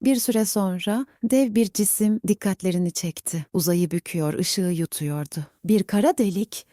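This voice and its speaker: A woman narrating a bedtime story in a slow, soothing reading manner. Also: lowish voice, steady tone, mellow, no room sound, studio quality.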